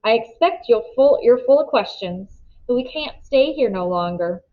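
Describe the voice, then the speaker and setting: A woman's voice: fairly high pitch, expressive, normal speed, close to an everyday voice. Woman reader doing a weary kind country ma, folksy and plain spoken, storybook, bit dull audio. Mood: earnest, reassuring. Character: gentle, weary, practical country mother.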